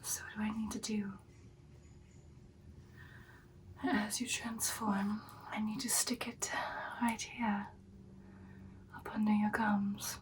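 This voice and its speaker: A woman playing an ancient, confident female vampire girlfriend in an ASMR roleplay, whispering breathily in an intimate, unhurried, matter-of-fact way. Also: medium pitch, level tone, far from the mic, a little hiss or hum, lightly acted. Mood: soft, businesslike.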